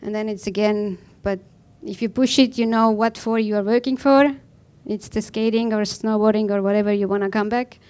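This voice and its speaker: Clear-voiced woman with an Estonian accent. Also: mid-range voice, fairly even intonation, normal speed, few pauses, a little mellow. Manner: blunt, informal, non-native phrasing. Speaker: panel guest, professional snowboarder. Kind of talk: conversational personal account on a panel.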